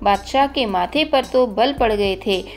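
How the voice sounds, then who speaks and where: A woman's voice: a little high, unhurried. A woman narrating a scripted children's story as the host of a storytelling channel. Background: background music.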